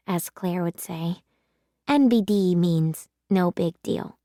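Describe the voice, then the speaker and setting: Unhurried woman reading a children's story aloud. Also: mid-range voice, darkish tone, no room sound, studio quality. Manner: measured, dramatic storytelling. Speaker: children's audiobook reader.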